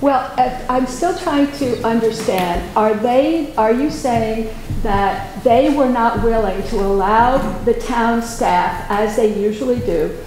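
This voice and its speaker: A woman's voice: a little high, slow pace, hardly any pauses, a little mellow. A woman speaking from the floor at a town board meeting, pressing a question persistently. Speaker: resident commenting at a meeting. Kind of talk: public comment. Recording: echoey hall, faint noise.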